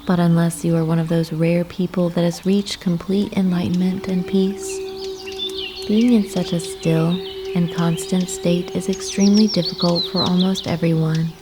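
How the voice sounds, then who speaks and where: A woman narrating a guided meditation, giving gentle, soothing instructions with unhurried pauses. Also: on the low side, steady tone, background noise. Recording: clear nature ambience with birdsong. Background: birdsong and nature ambience.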